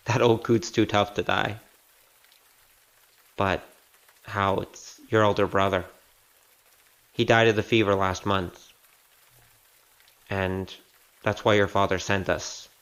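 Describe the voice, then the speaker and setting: A man's voice: on the low side, fairly even intonation, slow pace, pauses often, a bit bright, clear, resonant tone. A man playing a frank, easygoing wanderer in an audio drama, casual and plain-spoken. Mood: solemn, frank.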